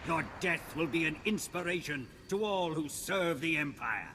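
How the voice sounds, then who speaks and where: A haughty Sith lord, played by a man, threatens in a slightly high, cold voice in a video game. The sound rings with a long hall reverb and a faint hiss. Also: animated, moderate pace, lightly acted. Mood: menacing. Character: arrogant male Sith lord, defiant and vengeful.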